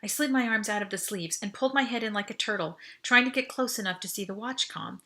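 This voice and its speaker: A woman reading a first-person story aloud as an expressive audiobook narrator. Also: medium pitch, quick pace. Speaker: audiobook reader.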